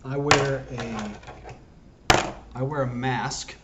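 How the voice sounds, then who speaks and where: A hoarse man talking to camera in a wandering, self-interrupting, earnest monologue as a self-styled prophet. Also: mid-range voice, fairly even intonation, drawn-out words, background noise. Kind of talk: rambling solo monologue to camera.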